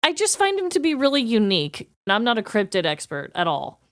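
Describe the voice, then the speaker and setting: A woman's voice: on the high side, wildly swooping pitch, fairly quick, fairly bright tone, dry recording, clean studio sound. A woman co-hosting a podcast, chatting casually and reading from prepared notes. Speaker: podcast co-host.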